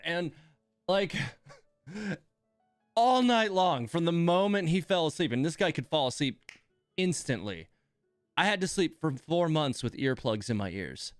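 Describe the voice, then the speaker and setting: A man hosting a game livestream, reacting casually in a rambling, hesitant way with drawn-out emphasis. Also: a little high, dry recording, studio quality. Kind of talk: casual live commentary reacting to game voice lines.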